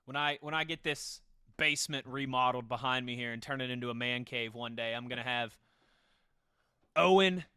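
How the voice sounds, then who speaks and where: A man's voice: mid-range voice, normal speed, sharp tone, clean tone, no room sound, studio quality. A man hosting a casual sports podcast, talking conversationally. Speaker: podcast host.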